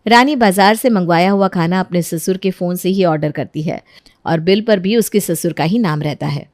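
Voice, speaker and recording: A woman's voice: on the low side, moderate pace, darkish tone, no echo. A woman narrates a scripted story. The recording is dry, with hardly any room sound around her voice.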